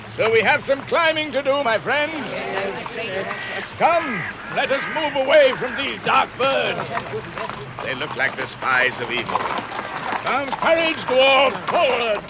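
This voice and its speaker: A man's voice: very high-pitched, sing-song, slow pace, a loud crowd, full character voice. An actor playing a proud dwarf leader in a vintage radio drama, declaiming and rallying his company. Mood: resolute.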